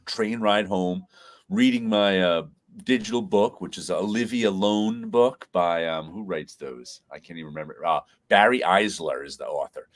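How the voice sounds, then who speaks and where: A man's voice: deep voice, normal speed. A man hosting a casual live chat, congested from sinus trouble, rambling through stories with frequent laughs and restarts. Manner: rambling storyteller who laughs often and restarts phrases. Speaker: host.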